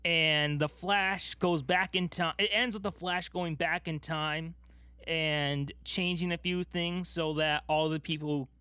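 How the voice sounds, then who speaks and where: A man podcast co-host chatting casually about movies, telling things in an animated way and acting out his own reactions. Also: a little high, takes it slowly, smooth, dry recording.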